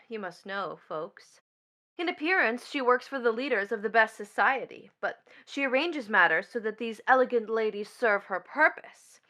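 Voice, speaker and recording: An actress playing a bitter, proud young stepdaughter in a stage play, with an emphatic, mocking, dramatic delivery. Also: female voice, fairly high pitch, pushed and bright. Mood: sarcastic. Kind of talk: theatrical stage play acting.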